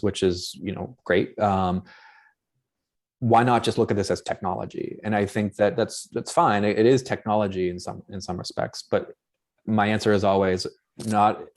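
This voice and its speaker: Panelist: man, light Boston accent, lowish pitch, academic, tentative and searching, video call. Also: darkish tone. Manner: thinks aloud, tentative and searching. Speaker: anthropologist panelist.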